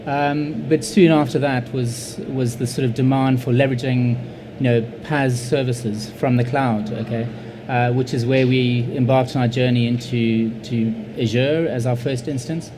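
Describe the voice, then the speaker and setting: A man's voice: medium pitch, steady tone, noisy background. Hesitant man speaking on a panel. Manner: measured, hesitant, many fillers and repeated words. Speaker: panelist, insurance IT leader. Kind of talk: panel discussion answer.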